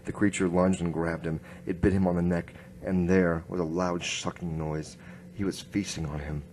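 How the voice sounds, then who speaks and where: A man playing a grim, hard-boiled noir inspector, narrating his case in clipped, deadpan radio-drama style. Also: low-pitched, normal speed, a little hiss or hum, natural voice. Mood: tense, suspenseful. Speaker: narrator and lead actor.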